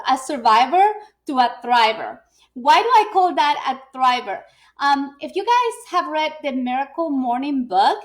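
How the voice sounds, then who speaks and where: Woman, high-pitched, middle-aged, casual live talk, many ums. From Ecuador. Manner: chatty, frequent fillers and restarts. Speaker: business owner and speaker. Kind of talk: live video presentation.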